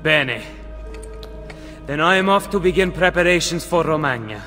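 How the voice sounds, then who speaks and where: A man's voice: on the high side, expressive, moderate pace, bright, forceful tone. A courtly Roman nobleman. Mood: courteous. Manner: courtly, polite. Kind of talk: video game cutscene dialogue. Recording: game audio with long reverb and music.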